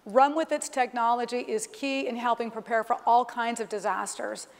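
A woman's voice: on the high side, steady tone, normal speed. A middle-aged woman giving an explanatory live demonstration from a conference stage. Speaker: corporate executive presenting a keynote demonstration. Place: large conference stage, indoors. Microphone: clip-on lapel microphone. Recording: reverberant hall sound through PA.

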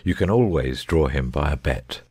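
Audiobook drama: cool Victorian detective male actor, low and expressive voice, unruffled, close dry recording. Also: moderate pace, slightly rough, dry recording, heavily acted. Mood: pleased, musing. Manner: careless, bland, unruffled. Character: shrewd, self-assured Victorian gentleman detective.

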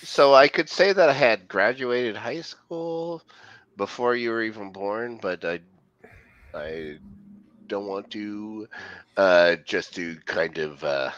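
A man's voice: on the low side, expressive, takes it slowly, fairly bright tone, dry recording. A middle-aged man co-hosting a show from home over a headset, joking sarcastically and swearing in casual banter. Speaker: podcast co-host. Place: home room. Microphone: headset on video call. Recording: dull lo-fi dry call audio.

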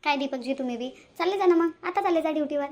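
A woman's voice: sits high, fast, a little mellow, close to an everyday voice. A woman voicing a caring, dutiful sister-in-law in a household cartoon, coaxing and advising in Varhadi-accented Marathi. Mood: urging. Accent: Varhadi Marathi, Vidarbha. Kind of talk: amateur cartoon voice-over.

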